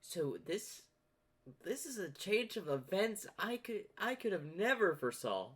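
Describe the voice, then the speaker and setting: A man hosting a reaction video, giving excited, rambling commentary. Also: high voice, a little slow, breathy edge.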